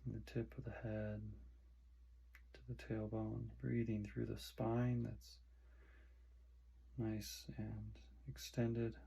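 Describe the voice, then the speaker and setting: A man with a fairly low, gravelly voice leads a guided meditation. His delivery is flat and unhurried, broken into short soothing phrases with long pauses between them.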